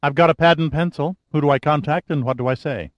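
A male narrator voicing a brisk, wisecracking young woman secretary on a phone call. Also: fairly high pitch, lively intonation, no echo, pitched above the performer's own voice, lightly acted. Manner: wisecracking, quick, efficient.

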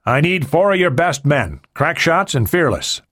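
A man's voice: a little high, sing-song, a little slow, a bit bright, pitched above the performer's own voice, exaggerated voice. A man voicing a curt male mercenary commander who gives clipped, authoritative orders. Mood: commanding.

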